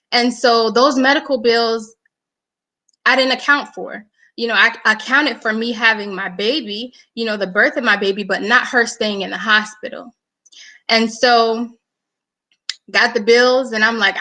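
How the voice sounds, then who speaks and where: A woman's voice: on the high side, sharp tone, rough recording. A young woman from New York, a guest speaker telling her personal story in an earnest, conversational way. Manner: earnest, conversational, with frequent fillers. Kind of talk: personal testimony talk.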